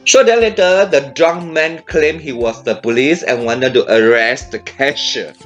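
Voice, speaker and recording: A man rants in an indignant, sarcastic commentary. His voice sits at an ordinary middle pitch. Faint music plays beneath the voice-over. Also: unhurried, a bit bright, dull audio. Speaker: commentary host. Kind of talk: opinionated video commentary.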